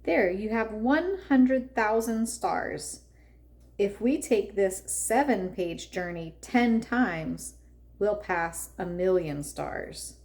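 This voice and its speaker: Female read-aloud narrator for kids' storybook, medium pitch, unhurried measured delivery with expressive emphasis.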